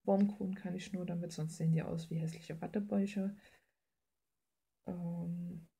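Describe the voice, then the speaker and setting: A woman's voice: on the low side, fairly even intonation, moderate pace, creaky, dark tone, husky. A woman chatting casually and informally as she draws, rambling in slangy language and thinking aloud. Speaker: artist hosting a drawing video. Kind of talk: casual rambling chat while drawing. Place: indoors at a drawing desk.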